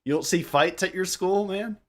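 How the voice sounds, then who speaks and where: Young man rambling through a casual story. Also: high voice. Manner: halting, rambling, repeats phrases. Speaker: podcast member. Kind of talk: casual group chat storytelling.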